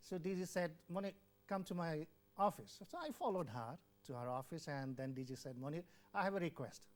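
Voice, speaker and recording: A man's voice: on the high side, sing-song, fairly quick. Earnest older man telling his story. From Bangladesh. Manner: earnest, repeats words, acts out quoted dialogue. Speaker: former WHO country representative. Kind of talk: interview, personal storytelling.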